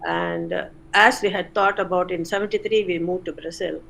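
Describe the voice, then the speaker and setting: An older woman, a retired chemistry professor recalling her career in an interview, unhurried with frequent pauses. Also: on the low side, steady tone, gravelly, a bit bright, slight background noise, rough recording. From India. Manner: unhurried, many "uh" pauses, self-corrects. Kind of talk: reflective interview answers.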